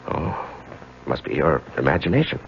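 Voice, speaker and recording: An actor playing an anxious husband haunted by voices, in 1970s radio drama style, with a gravelly, hesitant delivery. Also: male voice, rumbling low, big pitch swings, moderate pace, a little hiss or hum, full character voice. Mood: evasive, light. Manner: hesitant, evasive, brooding first-person narration. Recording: dull 1970s radio recording, music behind.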